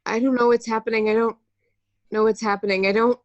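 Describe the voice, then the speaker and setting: Woman playing a frightened, high-voiced teenage boy hero. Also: flat delivery, fast, lo-fi sound, natural voice. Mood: scared, confused. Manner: stammering, repeating pleas. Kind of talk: amateur table read acting.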